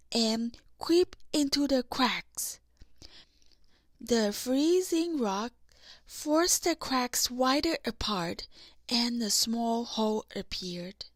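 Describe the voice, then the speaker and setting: A woman reads a children's story aloud as an audiobook narrator. Her voice is high and she takes the text very slowly, with a dry sound free of room echo. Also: fairly bright tone. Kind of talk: slow scripted children's story read-aloud.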